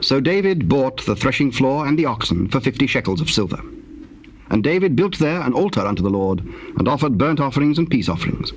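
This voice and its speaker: Man, scripture narration, measured, formal, mid-pitched, slight tape noise. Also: very animated. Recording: faintly noisy old cassette tape.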